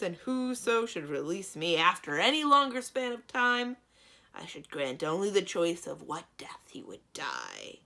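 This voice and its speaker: A woman's voice: on the high side, pushed and bright, pitched above the performer's own voice, full character voice. A woman voicing a huge, stern male djinn who declaims pompously in grand, archaic speech for a storybook.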